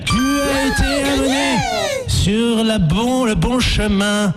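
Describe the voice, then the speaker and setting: A solemn, pontificating pope, as a man puts him on for a radio comedy bit. The voice sits very high and preaches in booming, drawn-out phrases. Music plays behind the radio broadcast. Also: huge pitch swings, full character voice. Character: man playing the new pope, pompous preacher. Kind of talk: comic radio sketch.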